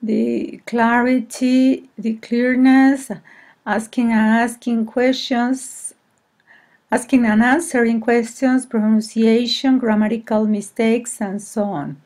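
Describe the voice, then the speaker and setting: A woman teacher with a Mexican accent, presenting to colleagues in a hesitant, halting way and often correcting herself. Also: on the high side, level tone, darkish tone. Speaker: English teacher. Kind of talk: screen-recorded presentation to colleagues.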